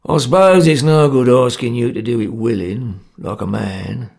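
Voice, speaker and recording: A grim Cockney ringleader, voiced by a male narrator, with creaky, rough tone. He bosses people sternly and menacingly in few words. The delivery suits a storybook villain. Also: medium pitch, normal speed, a little mellow. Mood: commanding. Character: grim, domineering male ringleader of bungling conspirators.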